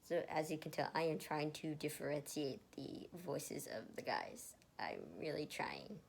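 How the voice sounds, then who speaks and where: A woman's voice: low-pitched, very animated, measured pace, creaky, a little hiss or hum. A woman reading fan fiction aloud in an amateur way, stumbling over words and rereading them, with casual asides.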